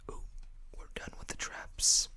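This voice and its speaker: An ASMR host who speaks entirely in a whisper, with a bright, crisp edge to the breath. The delivery is hushed and deliberate, moving between reading game card text and casual commentary.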